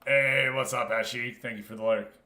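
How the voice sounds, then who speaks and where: A man's voice: medium pitch, fairly even intonation, normal speed, bright, forceful tone. A man livestreaming a football management game, chatting casually with viewers and reacting aloud as he goes. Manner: informal, reacting aloud, talking to himself. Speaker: live streamer hosting a Football Manager league. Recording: roomy, echoing sound.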